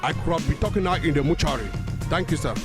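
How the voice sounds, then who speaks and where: A man from Cameroon giving a halting, repetitive eyewitness account in a roadside interview. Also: on the high side, over loud music.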